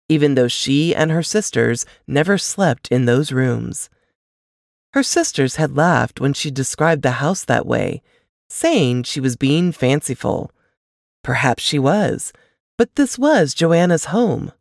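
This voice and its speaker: A woman reading a novel aloud as scripted narration, with expressive, measured storytelling delivery. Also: deep voice, no echo, studio quality. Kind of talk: scripted audiobook-style fiction narration.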